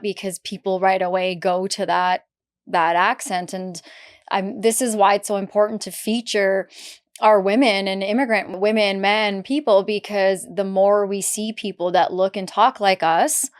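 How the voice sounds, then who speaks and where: Smooth-voiced woman podcast host. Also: mid-range voice. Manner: warm, affirming interviewer. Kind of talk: casual interview talk.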